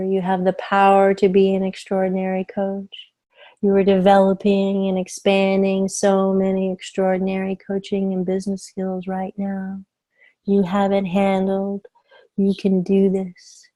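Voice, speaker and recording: A woman delivering scripted hypnosis affirmations as a hypnotherapist, soothing and deliberate, with emphatic repetition. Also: medium pitch, mellow.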